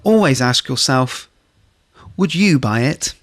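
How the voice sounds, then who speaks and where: A man narrates a staff training film with a mid-pitched voice. His delivery is scripted and measured, laying out instructions step by step in the manner of a corporate video. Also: animated.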